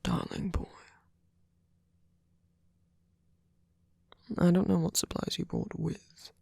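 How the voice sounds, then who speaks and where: A performer voicing a sweet, doting male vampire boyfriend in a breathy, hesitant ASMR whisper. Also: mid-range voice, flat delivery, dry recording. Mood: tender, fussing. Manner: hesitant, halting, rambling, with soft filler murmurs. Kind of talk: ASMR roleplay, soft whispered comforting.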